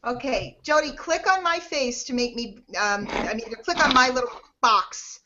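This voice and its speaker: A high-voiced woman teases and jokes on a video call in a playful, silly way. Her call audio comes through muffled and dull. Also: lively intonation, moderate pace, pushed and bright. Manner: playful, teasing, giggly. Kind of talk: casual video call chat.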